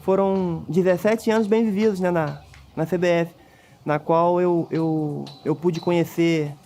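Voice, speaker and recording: A man's voice: high-pitched, steady tone, unhurried, dark tone, a little hiss or hum. A man who worked in the team's kit room, recalling his years in a hesitant way and repeating words as he searches for them. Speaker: former team kit room assistant. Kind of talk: reminiscing documentary interview.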